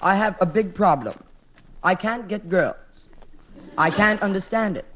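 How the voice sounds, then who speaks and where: Man playing a high-voiced, boastful lovelorn young fellow. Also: measured pace, a bit bright. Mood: whiny, boastful. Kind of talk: 1940s radio comedy acting. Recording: dull lo-fi 1940s radio recording.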